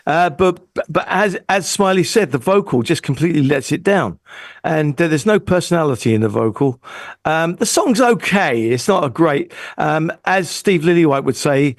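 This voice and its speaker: A man's voice: fairly high pitch, dry recording, studio quality. A man chatting casually as a music producer on a song review panel. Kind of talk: casual chat, song critique.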